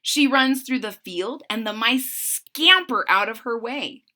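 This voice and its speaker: A woman reading a children's story aloud in an expressive style, with simple retelling asides. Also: fairly high pitch, a little slow.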